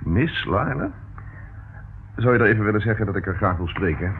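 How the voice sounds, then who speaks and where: Low-voiced police inspector, old radio play. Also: male voice, animated, a little fast, vocal fry. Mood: brisk, questioning. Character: probing male police inspector, teasing yet tender. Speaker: radio drama actor. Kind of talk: radio play acting in Dutch. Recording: muffled, narrow-band, noisy old radio recording.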